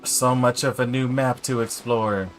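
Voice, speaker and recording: A man's voice: medium pitch, fairly even intonation, measured pace. A man doing casual, chatty live game commentary, reacting aloud to what happens. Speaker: game streamer. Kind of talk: casual live gameplay commentary, reading screen text. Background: game music.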